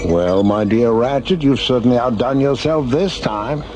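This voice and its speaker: An actor playing a theatrical, sardonic, menacing mastermind who gives grandiose commands in a science fiction radio drama. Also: male voice, medium pitch, normal speed, darkish tone, faint music behind, lightly acted. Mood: theatrical, pleased. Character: suave, theatrical, menacing male mastermind villain. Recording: noisy old radio drama recording.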